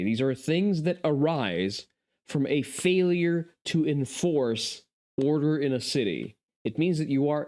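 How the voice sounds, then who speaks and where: A man's voice: medium pitch, darkish tone. A man hosting a casual chat stream and reacting to videos, with sarcastic, profane ranting.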